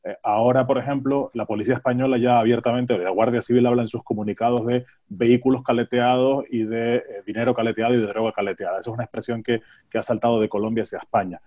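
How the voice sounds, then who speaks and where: A man's voice: medium pitch, fairly even intonation, fast, few pauses, mellow. A man in his forties with an accent from Spain, talking conversationally as a journalist on a video call and repeating words as he thinks aloud. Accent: Spanish from Spain. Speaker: radio journalist. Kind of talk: conversational panel discussion. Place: home study, on a video call. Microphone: earphone headset on a video call.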